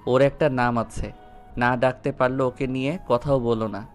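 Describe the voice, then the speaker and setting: A male actor as a wealthy young man in an audio love story. He is commanding and protective, with a firm, cutting delivery at a middling pitch. Music plays behind him. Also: fairly even intonation, moderate pace, natural voice. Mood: angry, defiant. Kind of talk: Bengali audio story acting.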